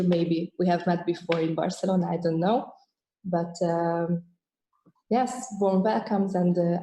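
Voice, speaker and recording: A woman hosts a webinar and introduces the guest, pausing often on "uh" and "um". Her voice is lowish and mellow. It comes through a video call with a roomy echo around it. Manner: hesitant, many "uh" and "um" fillers. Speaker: webinar moderator. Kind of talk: formal introduction.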